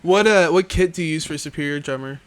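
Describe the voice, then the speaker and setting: A male podcast host whose voice sits a little high and sounds smooth and clean. He asks easygoing follow-up questions, with plenty of small hesitations along the way. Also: fast. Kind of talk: casual interview chat.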